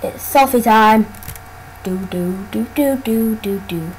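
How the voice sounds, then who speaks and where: A woman casually commenting on her gameplay, excitable and often exclaiming. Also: a little high, slow pace, slight background noise, poor audio.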